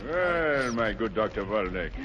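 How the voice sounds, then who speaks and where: An actor playing an imperious, short-tempered foreign agent in a vintage radio thriller, sneering and barking commands. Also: male voice, fairly low pitch, big pitch swings, a little slow, crowd noise. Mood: mocking. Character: ruthless male foreign agent, domineering villain with short temper. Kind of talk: 1940s radio thriller acting. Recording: dull, noisy vintage radio recording.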